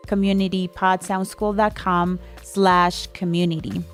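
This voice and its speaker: A woman's voice: on the low side, level tone, unhurried. Female podcast co-host with music behind. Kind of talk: casual podcast recommendations and announcements.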